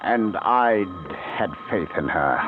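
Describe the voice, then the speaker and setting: A tormented husband in a vintage radio mystery, brooding over his suspicions. His low voice swings widely and dramatically. It comes through a thin, tinny old broadcast. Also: expressive, slow pace, loud music behind the voice. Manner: intense, brooding, melodramatic.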